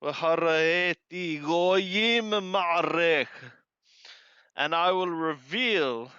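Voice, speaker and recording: A man reading scripture aloud and translating it phrase by phrase, halting and deliberate, correcting his wording as he goes. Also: fairly high pitch, bright, forceful tone, clear, resonant tone, no echo. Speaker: amateur Bible reader and translator. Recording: dull lo-fi close recording.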